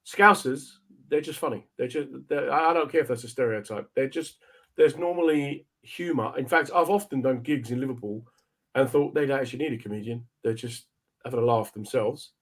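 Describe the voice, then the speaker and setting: A man's voice: mid-range voice, brisk, a bit bright. A man hosting a radio interview in a casual, chatty way, with informal banter and short prompting questions. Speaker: radio show host, interviewer.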